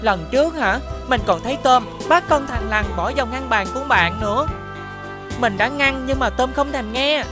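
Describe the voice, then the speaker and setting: A woman voicing a stubborn young schoolchild who likes to tattle, in an expressive children's cartoon style. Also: high-pitched, moderate pace. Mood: tattling. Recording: music behind the voice.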